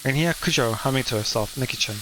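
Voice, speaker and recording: A man's voice: mid-range voice, fast, background noise, close to an everyday voice. A man reading aloud as a wry, self-deprecating teenage schoolboy narrating his own story from a visual novel, in a dry manner.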